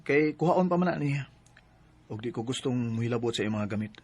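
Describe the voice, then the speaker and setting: An actor playing an eager, smooth-talking young boyfriend in a Cebuano radio drama. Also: male voice, mid-range voice, animated, fairly quick, darkish tone, no room sound. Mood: defensive. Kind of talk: Filipino radio drama acting.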